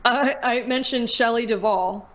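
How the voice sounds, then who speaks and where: A woman's voice: on the high side, a little slow, fairly bright tone. A woman host in a casual podcast chat, speaking candidly and informally and swearing freely.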